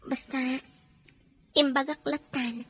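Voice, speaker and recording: A woman's voice: high voice, steady tone, slow. A woman acting in an Ilocano radio drama as a scheming, bossy secretary who talks in a pressing, dramatic way. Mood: insistent, scheming.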